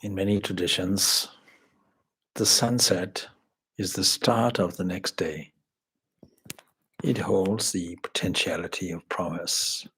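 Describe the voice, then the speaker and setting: Slow, low, husky man guiding meditation. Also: steady tone, fairly bright tone, poor audio. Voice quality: husky, breathy. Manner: measured, reverent, pausing between short phrases. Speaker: swami leading a guided meditation. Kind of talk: slow spoken guided meditation.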